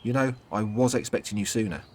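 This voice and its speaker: A man voicing a forthright, sharp-tongued older woman with magic powers in a fantasy audio story. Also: on the low side, fast, slight background noise, lightly acted. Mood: playful. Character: stern, playful older woman, protective grandmother with magic.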